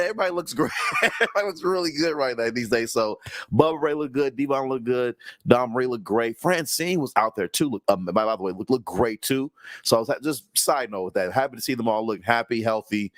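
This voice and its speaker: A man's voice: mid-range voice, animated, quick pace, no echo. A man co-hosting a casual wrestling review, with a stuffy nose and a rambling way of talking full of likes and restarts. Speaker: co-host. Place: home in Houston.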